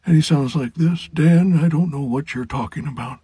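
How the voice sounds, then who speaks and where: Elderly querulous professor, acted by a man, raised pitch, husky, for audiobook character work. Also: normal speed, dark tone, dry recording. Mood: puzzled. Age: older.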